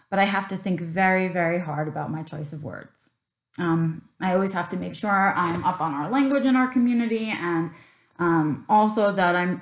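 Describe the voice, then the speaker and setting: A woman in her thirties from Queens with a New York accent, talking candidly to camera in an unscripted vlog, with many ums and a passionate streak. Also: mid-range voice. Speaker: vlogger. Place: small room indoors, plain backdrop. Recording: roomy echo.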